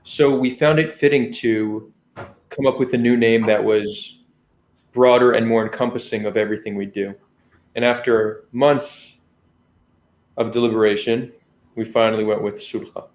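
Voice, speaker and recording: A man who is a guest on a podcast, explaining things in a calm, measured way. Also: medium pitch. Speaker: guest, founder of a dialogue channel. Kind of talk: conversational podcast interview. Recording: roomy echo.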